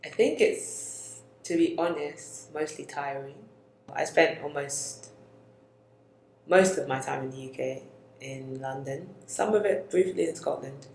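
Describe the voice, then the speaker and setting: Soft-spoken musing young adult, echoey room. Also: mid-range voice, unhurried, creaky, slight background noise. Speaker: co-host. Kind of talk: reflective personal conversation.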